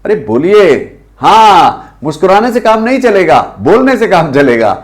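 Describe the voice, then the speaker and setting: Lively high-voiced Indian English teacher. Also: male voice. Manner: slow drilled English phrases, coaxing the viewers. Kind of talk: spoken English lesson.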